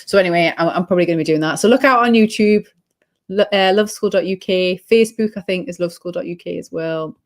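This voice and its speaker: British woman guest, a touch hoarse, lower voice, rambling and reflective. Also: quick pace. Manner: reflective and rambling, many ums and restarts. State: slightly hoarse, losing her voice. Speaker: guest, holistic healing coach. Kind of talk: casual interview chat.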